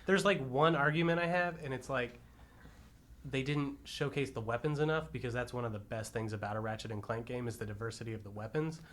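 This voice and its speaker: A man's voice: mid-range voice, brisk, fairly bright tone, slight background noise. A man with a middle-range voice talks as one of a podcast panel. His delivery is conversational, in the style of a casual movie review among several people.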